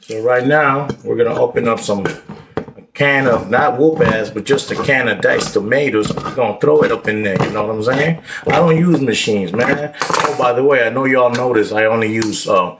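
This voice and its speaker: A man's voice: medium pitch, normal speed. Middle-aged guy cooking in his kitchen, cocky and joking, slangy, camera on the counter, noisy. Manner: boastful, joking, streetwise slang. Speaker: home cooking vlogger. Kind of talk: casual cooking vlog with banter. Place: home kitchen, indoors. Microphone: camera at counter level. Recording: noisy kitchen with chopping clatter.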